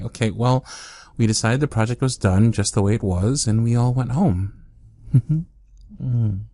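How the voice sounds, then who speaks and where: A man with a lowish voice talks slowly and softly, as if to a partner, in an ASMR roleplay. His tone is intimate and teasing, recorded close to the microphone in a dry, dead space. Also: no echo. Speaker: boyfriend in a roleplay.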